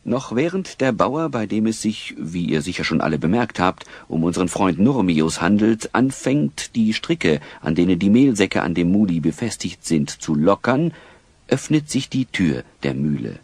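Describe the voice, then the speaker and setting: Male narrator, low pitch, expressive range, audio drama storytelling, measured phrasing. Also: slightly rough, dry recording.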